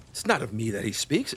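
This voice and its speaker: A man as a grandiose tetrarch, bright forceful voice, lively pitch, boastful, uneasy, rambling, audio drama. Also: husky, no room sound, full character voice. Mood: dismissive. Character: pompous, lecherous, superstitious tetrarch king, boastful and uneasy. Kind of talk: theatrical audio play acting.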